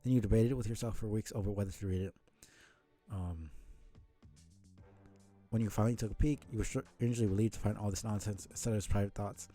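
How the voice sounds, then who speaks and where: A man streaming a casual read-aloud of a comic, with halting, muttered asides. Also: on the low side, steady tone, dark tone, faint music behind. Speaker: streamer reading a webcomic aloud.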